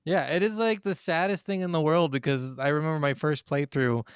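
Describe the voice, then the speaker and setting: A man with a slightly high voice talks casually as a podcast co-host about games. The sound is dry and close, and he tells stories informally, with plenty of swearing. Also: fairly even intonation, a little fast, smooth, no room sound. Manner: informal storytelling, swears freely. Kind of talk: casual gaming podcast chat.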